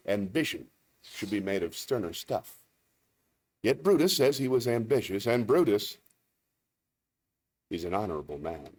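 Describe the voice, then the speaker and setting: A man performing a solemn Roman funeral orator in a measured, rhetorical Shakespearean stage monologue. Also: on the low side.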